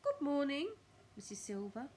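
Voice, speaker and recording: Shy lovestruck storybook man, played by a woman: polite, solemn, measured, lightly pitched. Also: very animated, darkish tone, slight background noise. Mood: polite, admiring. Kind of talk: children's storybook reading.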